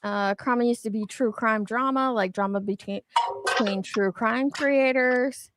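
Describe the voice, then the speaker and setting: A woman's voice: on the high side, measured pace, a bit bright, a little hiss or hum. A woman giving casual, candid commentary as an online show host, speaking emphatically and swearing mildly.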